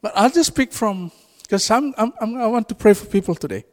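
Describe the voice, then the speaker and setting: High-voiced Fijian-accented man preaching. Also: brisk. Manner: animated, laughs often, rises to exclamations. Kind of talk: informal sermon. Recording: reverberant hall.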